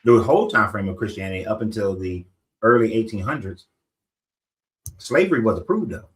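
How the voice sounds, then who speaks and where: A man talking in a casual discussion. He reasons aloud in a measured way, checking in with rhetorical questions. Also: fairly low pitch, lively intonation, rough recording.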